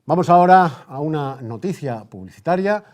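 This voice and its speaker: Spanish guy doing old time radio news bulletin, soft dark voice, halting, repeats stuff for emphasis. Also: on the high side, expressive. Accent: Spain. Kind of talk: news bulletin in the manner of a 1930s radio newscast.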